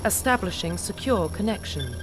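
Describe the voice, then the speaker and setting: A woman's voice: mid-range voice, big pitch swings, normal speed, close to an everyday voice, rough recording. A woman voicing a composed military database computer that announces in formal, clipped system phrases. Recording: music behind the voice.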